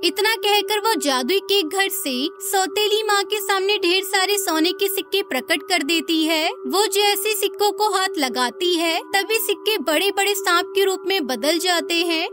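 A woman's voice: extremely high pitch, a little slow, hardly any pauses, music in the background. A woman narrator reading a scripted children's story.

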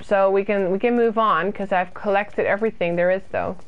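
A woman's voice: mid-range voice, fairly even intonation, brisk, smooth, muffled recording. A young woman from the UK, casually chatting with her viewers while streaming a horror game and reading their comments aloud. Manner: chatty and self-deprecating, reads chat aloud and answers it. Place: small room indoors.

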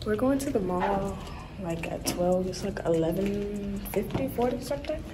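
A woman's voice: mid-range voice, lively intonation, normal speed. A young adult American woman, chatting casually as a vlogger. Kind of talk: casual vlog chat. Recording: music behind the voice.

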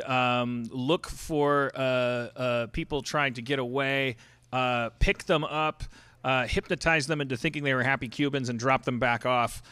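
A man co-hosting a comedy podcast on a live stage, riffing playful comic bits in quick asides. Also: medium pitch, pushed and bright, smooth, no echo. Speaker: podcast co-host. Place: stage before live audience.

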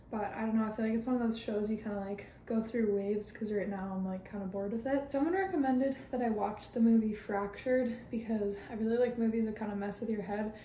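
A woman's voice: on the high side, warm tone. A woman, chatting informally to camera like a student vlogger. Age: 20s. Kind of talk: casual chat to camera, daily vlog. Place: parked car and home kitchen. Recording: background noise in car and kitchen.

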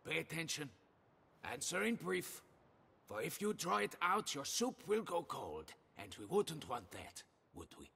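An actor playing a kindly, patient older man who runs a poorhouse in a video game, speaking in a gentle, courteous, measured way. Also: a little high, creaky, slight background noise, exaggerated voice. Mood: coaxing, projected.

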